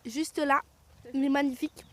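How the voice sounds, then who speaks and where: Bubbly high-voiced woman reporter outdoors. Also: fairly even intonation, moderate pace. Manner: curious, chatty, laughs often. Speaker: radio reporter. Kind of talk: casual on-site interview. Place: outdoors on a farm.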